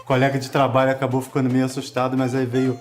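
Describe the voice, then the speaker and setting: A man telling a funny anecdote about work colleagues in an animated documentary interview. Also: medium pitch, fast talker, soft background music. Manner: animated storytelling.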